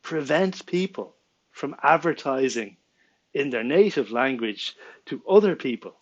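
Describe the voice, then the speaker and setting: A man's voice: medium pitch, lively intonation, unhurried, muffled recording. A man in his forties with an Irish accent, an Irish native speaker, venting his frustration straight to camera from a small room at home, stumbling over his words and laughing in disbelief. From Ireland. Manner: exasperated, restarts often, laughs in disbelief, gestures with hands. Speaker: vlogger and small business owner. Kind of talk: indignant direct-to-camera rant.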